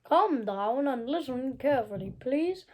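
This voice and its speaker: A calm magic toad from a children's story, voiced by a woman. The voice is high and soft, close to a whisper at times, and each line comes out slowly and coolly. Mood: hushed, reassuring. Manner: hushed, calm and unhurried. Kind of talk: storybook reading.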